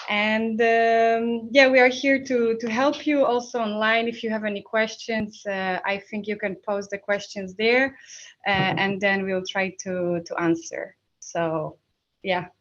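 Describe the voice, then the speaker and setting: A woman with a Polish accent giving an informal technical workshop in a hesitant, halting way with frequent pauses. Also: medium pitch, steady tone, highs cut off. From Poland. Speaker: workshop instructor.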